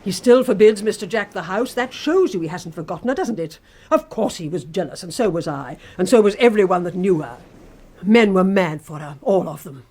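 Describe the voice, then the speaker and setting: An actress playing an obsessive, menacing housekeeper in a radio drama, sneering and insistently coaxing. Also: female voice, lowish voice, expressive, brisk, darkish tone, husky. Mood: venomous. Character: obsessive, menacing housekeeper, devoted to her dead mistress.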